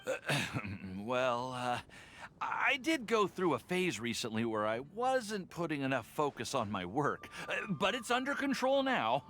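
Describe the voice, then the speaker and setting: A man's voice: medium pitch, huge pitch swings, unhurried, a bit bright. An actor playing a young, earnest male alchemist and card game enthusiast in a video game, explaining things eagerly. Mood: awkward, sheepish. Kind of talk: animated video game voice acting.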